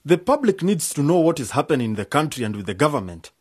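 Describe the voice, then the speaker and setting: A man's voice: on the high side, very animated, fast talker, a little mellow, breathy edge. A man playing a principled, questioning young debater in an educational radio drama. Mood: insistent.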